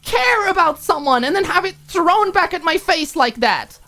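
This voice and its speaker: A woman's voice: very high-pitched, animated, moderate pace, pushed and bright, voice pushed up, heavily acted. A woman voicing a furious, hurt young roommate in an audiobook, firing off sarcastic, emphatic rhetorical questions. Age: young adult. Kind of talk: audiobook character acting.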